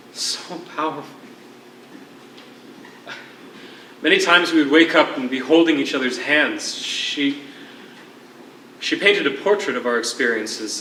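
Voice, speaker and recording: A man acting an anguished, defiant imprisoned writer who grieves his wife, speaking in an earnest, halting, poetic way in a stage play. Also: medium pitch, bright, forceful tone, a little hiss or hum, close to an everyday voice. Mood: wistful, reverent. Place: theatre stage. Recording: echoey stage recording from far off.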